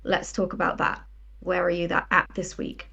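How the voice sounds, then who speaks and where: A woman's voice: fairly low pitch, monotone, normal speed, gravelly, fairly bright tone, husky, muffled recording. A woman, talking as an interview guest in a conversational, enthusiastic, explanatory way. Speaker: guest, audio drama writer and producer.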